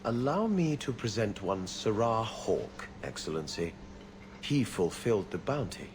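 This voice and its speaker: An actor playing a haughty, officious court official in a fantasy video game, with pompous formality. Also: male voice, lowish voice, very animated, a little slow. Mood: formal, dry. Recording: loud ambient noise behind.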